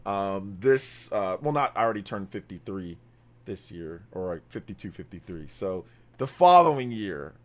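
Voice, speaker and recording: Lively-voiced man in his fifties. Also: low-pitched, normal speed. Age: 50s. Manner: rambling, self-correcting, laughs often. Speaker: livestream host. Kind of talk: casual gaming livestream chat.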